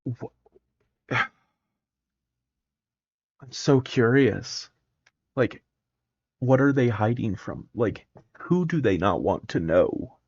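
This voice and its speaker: A man's voice: medium pitch, lively intonation, slow pace, pauses often, breathy edge, no echo, lo-fi sound. A man giving casual reaction commentary in a musing, wry manner. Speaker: reaction video host.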